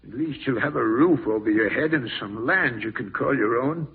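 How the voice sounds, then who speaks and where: An actor playing a stern, ailing old farm-owning uncle in a vintage radio drama, deliberate and folksy, with a gravelly, husky voice. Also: male voice, mid-range voice. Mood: solemn, confiding. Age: older. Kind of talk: 1940s radio drama acting. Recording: muffled old radio recording.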